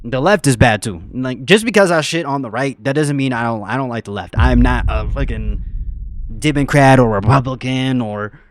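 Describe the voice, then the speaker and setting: A man's voice: medium pitch, moderate pace, a little hiss or hum. A young man giving casual, rambling reaction commentary, full of slang and fillers. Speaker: reaction streamer.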